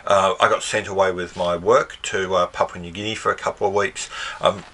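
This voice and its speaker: A man with a bright, forceful tone but a stuffed-up, slightly scratchy voice. He hosts a watch channel and talks casually to camera. His delivery is wry and emphatic, with a fairly low pitch. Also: fairly even intonation, fairly quick.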